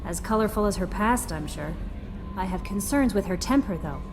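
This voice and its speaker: Woman as a gentle, perceptive starship crew assistant. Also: medium pitch, lively intonation, normal speed, music in the background, natural voice. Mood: thoughtful. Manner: gentle, analytical, chatty. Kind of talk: video game dialogue acting.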